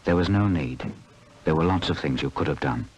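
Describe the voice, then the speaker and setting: A man's voice: a bass voice, normal speed, noisy background. A man reading scripted safety narration for a public information film in a grave, admonishing way. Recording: old film soundtrack, faint clicks.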